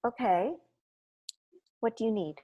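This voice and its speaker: A woman playing a gentle, well-meaning, slightly hurt suburban mother in a comedic table read. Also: on the high side, measured pace, lo-fi sound. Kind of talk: comedic table read over video call.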